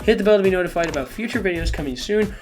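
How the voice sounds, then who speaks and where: A man hosting a reaction video, talking casually and excitably in slangy, repetitive bursts. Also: high voice, rapid-fire, faint music behind. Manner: excitable, informal, slangy, repeats phrases. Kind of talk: casual live reaction commentary.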